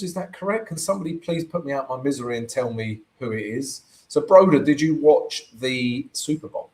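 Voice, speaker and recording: A man with a croaky voice hosting a live trading stream, chatting through his charts and answering viewer comments, often checking in with "right?". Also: a little high, a little mellow, poor audio. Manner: chatty, keeps asking "right?" to the audience.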